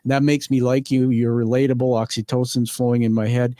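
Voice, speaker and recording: A man's voice: mid-range voice, little pitch movement, fairly quick, mellow, no room sound. Male podcast host, dry close sound. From born on a military base in the UK. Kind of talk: conversational interviewing.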